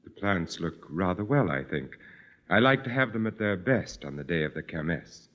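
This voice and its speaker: An actor playing a haughty, cultivated aristocratic landowner, formal and courtly, in an old-time radio drama. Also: male voice, low voice, sing-song, moderate pace. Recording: muffled vintage radio recording with music.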